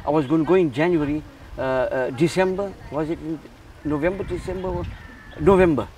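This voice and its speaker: A man's voice: a little high, fairly quick, darkish tone, slight background noise. Earnest man from Zimbabwe, heard from a distance. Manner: repeats words and restarts, earnest. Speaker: charity founder. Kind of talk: casual interview.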